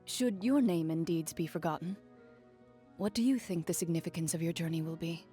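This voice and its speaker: A woman playing a composed young duelist and hunter in a video game, speaking in a calm, formal, measured way. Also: fairly low pitch, faint music behind, close to an everyday voice.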